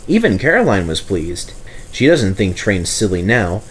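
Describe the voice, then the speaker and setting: A man's voice: fairly low pitch, big pitch swings, normal speed, a little hiss or hum. A man narrating a children's story from a script as the storyteller.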